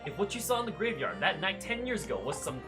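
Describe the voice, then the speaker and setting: Earnest game defense lawyer, woman acting, low-voiced, formal, accusing, very animated, music behind. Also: fast talker. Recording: music under the voice.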